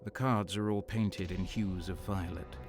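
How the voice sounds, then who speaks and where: An actor playing a cynical, faithless deserter soldier on edge, narrating an audio drama in a brooding voice that drops into whispers. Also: male voice, low voice, steady tone. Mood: uneasy. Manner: slow, brooding, breaking into whispers. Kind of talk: audio drama first-person narration and dialogue. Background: music.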